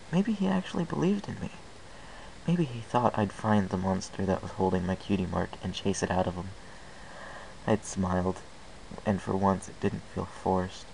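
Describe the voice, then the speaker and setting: Male amateur story narrator, lowish and gravelly, reading in first person over faint hiss. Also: low-quality recording. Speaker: amateur fan fiction reader. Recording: hissy amateur home recording.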